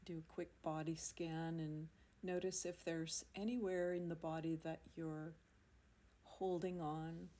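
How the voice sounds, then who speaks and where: A woman leading a guided yoga nidra meditation in a soothing, unhurried manner, leaving long pauses between phrases. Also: sits low, flat delivery, mellow. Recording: amateur recording with faint background noise.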